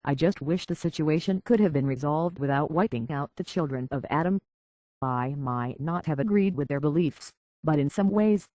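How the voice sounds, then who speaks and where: A woman's voice: low-pitched, warm tone, dry recording, lo-fi sound, close to an everyday voice. An actress playing an earnest, conflicted young woman in a video game, hesitant, sincere and emotionally vulnerable. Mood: somber, regretful.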